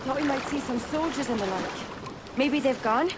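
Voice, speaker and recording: An actress playing a bold, plain-spoken, sceptical pirate shipmate in a video game cutscene. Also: female voice, high voice, animated, normal speed, very noisy. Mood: questioning, frank.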